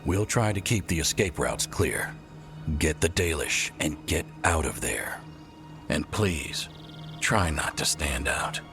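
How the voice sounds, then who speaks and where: Deep, commanding male scout leader. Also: animated, takes it slowly, music in the background, full character voice. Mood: stern. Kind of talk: fantasy game voice acting.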